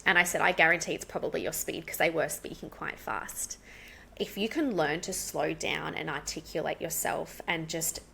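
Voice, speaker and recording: An Australian woman, an English coach, talking fast and animated as a guest in a casual interview. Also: on the low side, gravelly, husky.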